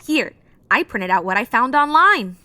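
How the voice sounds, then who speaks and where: A bright, animated voice plays a keen young research assistant in an audio drama. She sounds proud of what she knows, on a fairly high pitch. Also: normal speed. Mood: informative.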